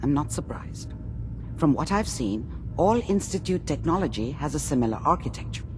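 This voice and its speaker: An actress playing a stern, exacting scientist doctor in a video game, brisk, formal and precise. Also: female voice, low-pitched, animated, music in the background. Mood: clinical, cautious. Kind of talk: video game character acting.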